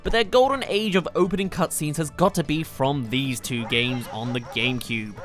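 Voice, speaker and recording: A man's voice: a little high, moderate pace. A man narrating an enthusiastic scripted video game countdown in a gushing, informal way, as a reviewer. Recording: loud music behind the voice. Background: game soundtrack music.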